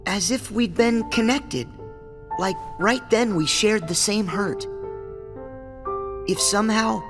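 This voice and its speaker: A man playing an earnest, stubborn, kind-hearted teenage boy hero in a video game cutscene, speaking sincerely and deliberately. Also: high voice, big-room sound. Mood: gentle, reflective. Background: piano music.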